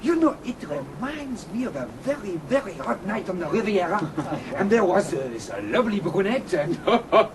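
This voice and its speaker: Casting: rambling tipsy toff, over-polite, high and wildly animated, crowd sounds behind. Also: male voice, normal speed. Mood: chatty, gushing. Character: garrulous, affable tipsy gentleman.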